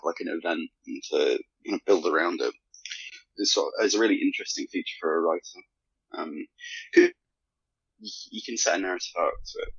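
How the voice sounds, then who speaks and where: A man in a casual podcast discussion, speaking reflectively and haltingly, with a self-deprecating streak. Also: low voice, slightly rough, no room sound, highs cut off. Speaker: podcast guest, fan fiction author.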